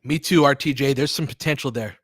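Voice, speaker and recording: A man's voice: medium pitch, fairly quick, slightly rough, no echo, studio quality. A man doing casual live basketball commentary as host, chatting with listeners in a conversational, mildly profane way with trailing asides. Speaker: basketball play-by-play host and coach.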